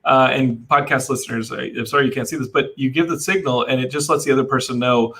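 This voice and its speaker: Bright-voiced joking man from Texas. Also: mid-range voice, quick pace. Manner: animated, joking, rambling with restarts. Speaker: podcast host. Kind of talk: casual chat.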